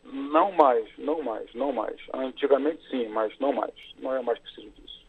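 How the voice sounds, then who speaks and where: A Brazilian man, a lawyer, giving measured explanations in an interview over a phone line, with fillers as he works through each point. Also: medium pitch, vocal fry, breathy edge.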